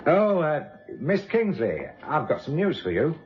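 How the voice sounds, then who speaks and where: An actor playing a gruff, impatient British detective chief inspector in a radio drama, with brusque, colloquial questioning. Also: male voice, a little high, wildly swooping pitch, measured pace. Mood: urgent, businesslike. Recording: dull lo-fi radio recording, faint noise.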